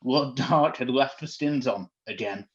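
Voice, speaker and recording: A man's voice: mid-range voice, unhurried, lo-fi sound. A man reading fan fiction aloud for comedy, often breaking off into amused, self-mocking asides.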